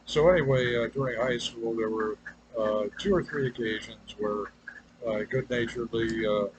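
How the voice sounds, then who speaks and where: A man giving an unscripted, rambling personal monologue in a halting, hesitant way, trailing off and circling back to his point. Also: mid-range voice. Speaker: personal video maker. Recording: clearly noisy ambient background.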